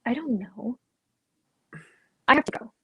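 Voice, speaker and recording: A woman's voice: on the high side, a little slow, breathy edge, no room sound, muffled recording, full character voice. A woman playing a guarded, conflicted sister, earnest and defensive, in a dramatic play reading. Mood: wistful, evasive.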